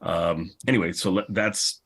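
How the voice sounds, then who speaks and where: A man with a fairly low voice moderates a panel as podcast host. He talks off the cuff in a rambling, enthusiastic way, often circling back over his own phrases. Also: steady tone, normal speed, creaky, fairly bright tone, husky. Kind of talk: unscripted panel discussion.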